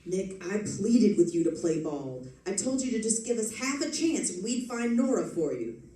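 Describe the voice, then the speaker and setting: A woman's voice: medium pitch, very animated, normal speed, warm tone, lightly acted. A woman playing a wry, suspicious old male police sergeant in an old-time radio detective drama, sardonic and slangy. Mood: grave, accusing. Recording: echoey, distant stage recording.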